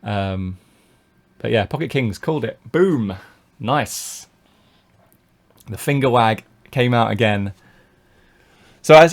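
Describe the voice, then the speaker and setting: A man from the UK with a lowish, gravelly voice talks through his own poker hands on a live stream. He thinks out loud, full of fillers and restarts, as he weighs each decision and reads out viewer messages.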